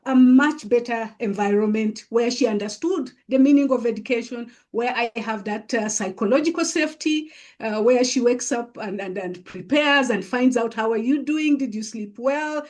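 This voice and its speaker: A woman from East Africa with an East African accent, telling her own story as an interview guest in a halting, deliberate way, repeating phrases for emphasis. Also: fairly high pitch, a little mellow. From East Africa, Uganda and Kenya. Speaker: podcast guest, coach and mentor.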